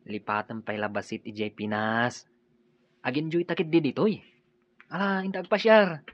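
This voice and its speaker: An actor playing an easygoing, teasing friend in a radio drama. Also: male voice, fairly high pitch, fairly even intonation, normal speed, natural voice. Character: easygoing young buddy coaxing his workmate to go out. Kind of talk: Ilocano radio drama acting. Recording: dull, lo-fi radio drama audio.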